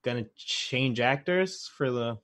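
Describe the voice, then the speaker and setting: A man's voice: mid-range voice, expressive, slow pace, fairly bright tone. A man co-hosting a casual chat about games and movies, conversational and thinking aloud as he goes. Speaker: podcast co-host.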